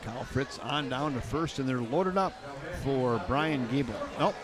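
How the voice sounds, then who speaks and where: An older man doing play-by-play for a baseball game, chatty and folksy, with light jokes between pitches. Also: lively intonation, measured pace. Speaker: play-by-play announcer. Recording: crowd noise in the background.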